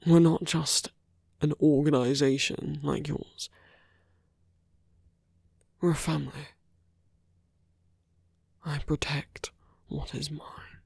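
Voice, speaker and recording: An intimate, dry-sounding villain acted by a man for an ASMR audio drama. He speaks slowly and deliberately with a gravelly creak, dropping into murmurs as he coaxes, protective and quietly possessive. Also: a little high, little pitch movement, dry recording, full character voice. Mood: protective, coaxing. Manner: hushed, halting, deliberate, murmured asides. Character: smooth-talking male villain, protective, coaxing, quietly possessive.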